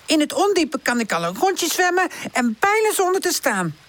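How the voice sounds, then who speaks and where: A woman's voice: high-pitched, sing-song, pitched above the performer's own voice. A woman voicing a small, eager but timid little boy animal in a children's storybook, childlike and trusting. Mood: proud. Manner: childlike, halting when scared.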